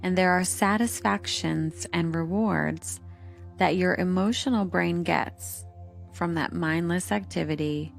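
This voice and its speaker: A woman narrating a scripted guided meditation in a soothing, hypnotic delivery, with measured instructions and lingering pauses. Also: on the low side, gravelly. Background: calming meditation music.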